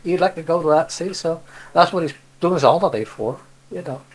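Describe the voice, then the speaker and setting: A man's voice: on the high side, brisk, poor audio. An older man from Cornwall with a Cornish accent, reminiscing casually in rambling stories peppered with "see" and "you know". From Cornwall, England. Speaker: interviewee, local dialect speaker.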